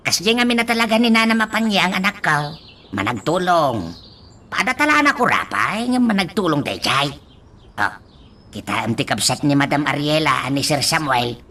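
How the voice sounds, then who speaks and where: A man playing an excitable, folksy old village father in a radio drama, exclamatory, with an Ilocano accent. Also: fairly high pitch, normal speed. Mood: animated. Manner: exclamatory, repeats a pet phrase.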